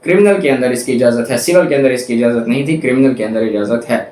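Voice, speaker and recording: A man's voice: mid-range voice, fast, a little mellow. A man with a Pakistani accent giving an explanatory lecture in a didactic way, repeating points for emphasis. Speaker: law lecturer. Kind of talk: explanatory lecture, reading statutes and paraphrasing them. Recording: echoey room with faint background noise.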